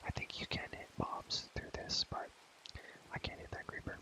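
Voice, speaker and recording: A young man whispering a casual, rambling ASMR gaming commentary, often repeating phrases and using relaxed slang. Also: normal speed. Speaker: ASMR video creator.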